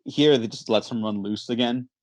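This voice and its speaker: A man's voice: medium pitch, moderate pace, no room sound. A man hosting a casual movie podcast, talking in a chatty, enthusiastic, rambling way. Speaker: podcast host.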